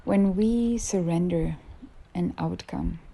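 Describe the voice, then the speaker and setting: A woman guiding a yoga nidra meditation as a teacher, with soft, breathy phrase endings and unhurried pauses. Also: fairly low pitch, a little hiss or hum. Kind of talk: guided meditation.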